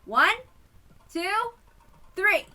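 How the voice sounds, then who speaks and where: A woman voicing an idealistic, hot-blooded young male policeman in an emphatic, excitable way for a story reading. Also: extremely high pitch, extremely slow, pitched above the performer's own voice, heavily acted. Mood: fired up.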